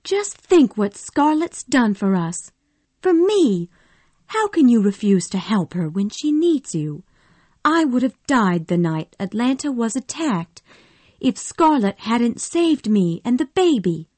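A woman voicing a gentle, devoted young wife and mother in a storybook reading, with a soft, tender manner. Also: fairly high pitch, big pitch swings, slow pace, no room sound, voice pushed up, exaggerated voice. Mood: firm. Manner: soft and gentle, growing firm.

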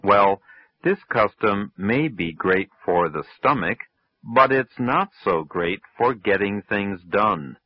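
A man reads an English lesson in a very low, unhurried voice, enunciating every phrase for learners. The sound is dry and close, with the muffled, lo-fi quality of an old radio broadcast. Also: animated, no room sound. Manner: slow, careful, clearly enunciated. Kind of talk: scripted textbook reading.

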